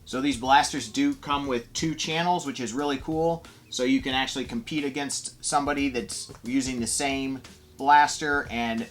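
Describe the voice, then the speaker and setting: A man's voice: mid-range voice, fairly bright tone. A man giving a casual, enthusiastic, conversational product review as a toy reviewer.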